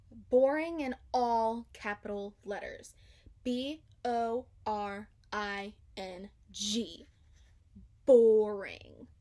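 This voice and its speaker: A woman's voice: fairly high pitch, darkish tone. A woman narrating a children's story aloud, expressively and with dramatic emphasis. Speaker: storybook reader.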